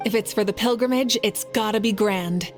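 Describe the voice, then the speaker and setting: A woman's voice: medium pitch, normal speed, faint music behind, lightly acted. A woman playing a confident, easygoing young warrior leader in a video game, playful and self-assured. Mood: confident, keen.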